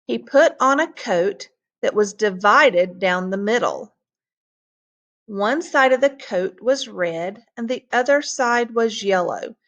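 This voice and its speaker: Woman teacher, read-aloud lesson, unhurried, patient explaining. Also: medium pitch, animated, a bit bright, lo-fi sound. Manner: patient, explains words with restarts. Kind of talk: reading a story aloud to students.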